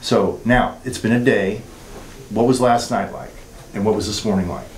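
A middle-aged man with a fairly low voice questions a patient, steering him with tag questions. He explains in clinical terms. The room echoes, with a faint hiss under it. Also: normal speed. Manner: leading, uses tag questions and jargon. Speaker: dentist. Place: dental clinic treatment room.

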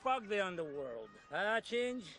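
Brash high-voiced Russian gangster. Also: male voice, big pitch swings, a bit bright, soft background music, heavily acted. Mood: mock grievance. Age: middle-aged. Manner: boisterous, teasing, drawn-out delivery. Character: brash, foul-mouthed, jovial Russian male gangster. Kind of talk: live-action game cutscene acting.

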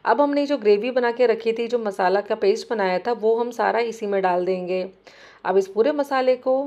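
Woman, cooking channel host; friendly step-by-step voice-over, slightly high voice. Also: a little mellow.

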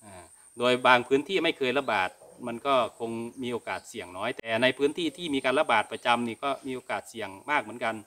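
Bright-voiced middle-aged Thai man explaining. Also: mid-range voice, animated, fast. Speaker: cattle farming channel host. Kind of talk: informal explainer answering viewer questions. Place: open-sided cattle barn.

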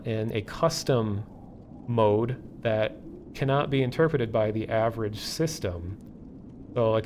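A man hosting a livestream, explaining things casually and off the cuff in a halting, thinking-aloud way. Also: medium pitch, a little mellow, slight background noise. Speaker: livestream host. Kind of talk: casual live explainer, unscripted.

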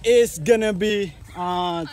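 Casual male vlogger, young adult, non-native English, unhurried delivery. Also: sits high, a little mellow. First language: not English. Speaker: vlogger, host. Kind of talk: casual travel vlog chat.

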